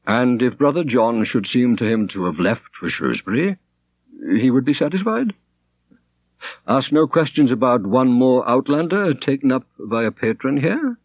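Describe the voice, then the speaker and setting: A man voicing a shrewd, kindly older monk in an audiobook, measured, thoughtful and gently wry. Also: mid-range voice, lively intonation, no room sound, pitched above the performer's own voice. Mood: shrewd, pondering. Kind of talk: audiobook character reading.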